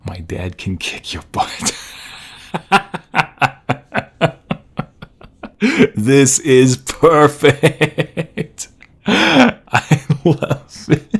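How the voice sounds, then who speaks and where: Man acting a breathy, husky devoted husband. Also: fairly high pitch, big pitch swings, heavily acted. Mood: delighted, giddy. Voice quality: breathy, husky, often dropping to whispers. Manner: halting, emotional, repeats himself. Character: tender, excitable husband overjoyed at impending fatherhood. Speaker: voice-over roleplay performer. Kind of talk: intimate ASMR audio roleplay.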